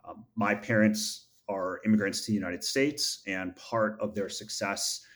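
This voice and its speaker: Low-voiced man, measured and earnest. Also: fairly even intonation, fairly bright tone. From upstate New York. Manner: measured and earnest, with frequent uh fillers. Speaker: podcast guest, MBA graduate and founder. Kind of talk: reflective interview answers.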